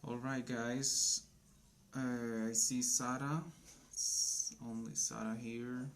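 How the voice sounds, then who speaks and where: Slow-talking gravelly Colombian-born teacher. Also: male voice, medium pitch, little pitch movement, a little hiss or hum. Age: 30s. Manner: slow, simple speech for beginners; chatty, greets viewers by name. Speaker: English teacher. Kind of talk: live online English lesson.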